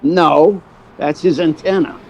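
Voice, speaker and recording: Man acting a high-pitched wry radio-play agent. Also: fairly even intonation, takes it slowly, creaky. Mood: amused, amazed. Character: sentimental, fast-talking male theatrical agent telling his story. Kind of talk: old-time radio drama, narration and dialogue. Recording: noticeable background noise.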